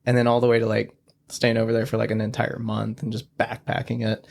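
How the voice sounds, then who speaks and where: A young man guesting on a podcast, telling stories in a relaxed, rambling way and saying "like" a lot. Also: mid-range voice, brisk. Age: young adult. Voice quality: gravelly, heavy use of "like". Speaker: podcast guest, studio founder. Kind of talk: casual conversation.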